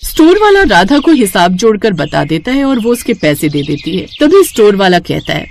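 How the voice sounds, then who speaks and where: A woman's voice: medium pitch, very animated, normal speed. A woman storyteller reading scripted cartoon story narration, with faint noise behind her.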